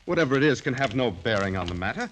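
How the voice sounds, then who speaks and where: An actor playing a gallant, proud young gentleman in a radio drama, speaking in a courtly, stiffly formal way. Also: medium pitch, very animated, fast talker. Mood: defiant. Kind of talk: 1940s radio drama acting. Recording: noisy old radio recording.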